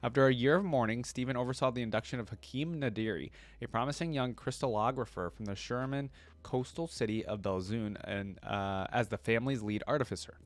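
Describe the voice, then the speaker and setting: A man's voice: medium pitch, fairly quick, no room sound. Enthusiastic man reacting and reading aloud. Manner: enthusiastic, interjects his own reactions while reading. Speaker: video host reacting to game character lore. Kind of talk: casual reaction commentary mixed with reading aloud.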